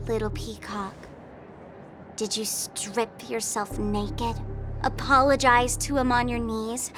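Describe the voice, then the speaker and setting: A woman playing a sly, proud young female trickster in a video game, teasing and scornful in a theatrical way. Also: a little high. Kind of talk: English video game voice acting.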